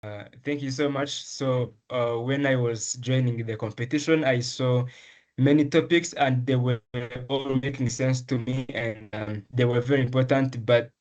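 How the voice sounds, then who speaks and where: A young man from Rwanda speaks at a middling pitch in an interview. His answers come earnestly and carefully, broken by pauses, fillers and restarts as he builds his points. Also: steady tone, a little mellow, poor audio. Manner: earnest, measured, with frequent ums and restarts. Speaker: medical student, essay prize winner.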